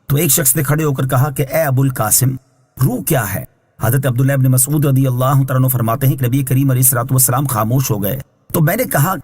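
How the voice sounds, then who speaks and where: A man reading scripted Islamic translation and commentary in a measured, formal, instructive way, as a scholar would. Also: medium pitch, slightly rough. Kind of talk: scripted religious exegesis narration.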